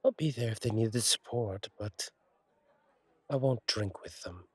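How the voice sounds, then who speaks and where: A man playing a kindly, easygoing fallen angel bartender in an intimate audio roleplay, talking in a husky, wry, conversational way with unhurried pauses. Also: on the low side, lively intonation, no echo. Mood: wry, candid.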